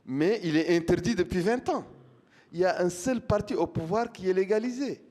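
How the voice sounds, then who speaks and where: Emphatic higher-voiced man from Mauritania. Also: fairly quick, a little mellow. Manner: emphatic, repeats phrases for force. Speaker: political party founder, panelist. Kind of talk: impassioned panel speech. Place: large conference hall. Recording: long hall reverb.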